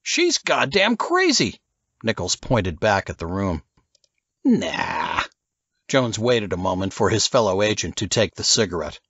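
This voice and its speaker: A man's voice: low voice, moderate pace, hardly any pauses, fairly bright tone, dry recording, highs cut off. A man narrating scripted fiction as an audiobook reader.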